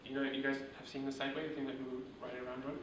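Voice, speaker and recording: A man leading a startup workshop, explaining principles in an informal, steady way with fillers. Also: medium pitch, racing, a little hiss or hum. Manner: steady, monotone delivery with filler words. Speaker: workshop instructor. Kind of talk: informal teaching talk. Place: large echoey room. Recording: echoey room from far off.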